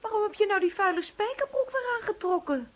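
An actress in a radio drama playing a doting, controlling mother who fusses and coaxes with sweet insistence in a husky voice. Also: very high voice, expressive, brisk, dull audio. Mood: indignant, scolding. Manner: coaxing, fussing, overbearing.

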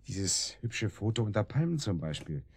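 An actor playing a menacing, mocking kidnapper in a radio drama, with a sarcastic, domineering manner of taunting. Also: male voice, lowish voice, sing-song, moderate pace, slightly rough, full character voice. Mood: sneering, prying. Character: menacing, mocking male kidnapper, boss of a sidekick. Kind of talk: German radio drama acting.

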